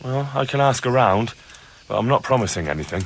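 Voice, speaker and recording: A man's voice: mid-range voice, animated, brisk, slightly rough. A man playing a plain-spoken, dutiful young blacksmith's son in a video game cutscene. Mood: earnest, helpful. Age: young adult.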